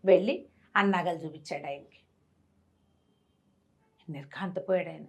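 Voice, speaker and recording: A woman storyteller telling a devotional tale in an animated way, acting out the characters' voices as she goes. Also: lowish voice, measured pace.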